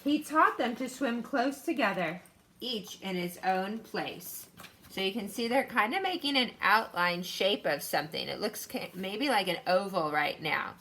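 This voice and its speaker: Bright-voiced woman reading to children. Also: medium pitch, animated, unhurried. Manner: instructive, question-posing, addressing children directly. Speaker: teacher reading a picture book aloud. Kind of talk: children's read-aloud with explanation.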